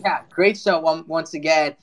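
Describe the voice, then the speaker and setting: A mid-pitched voice hosting a live audio show. The delivery is informal and slangy, with effusive praise and a loose, chatty flow. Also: normal speed, fairly bright tone. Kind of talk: casual chat hosting.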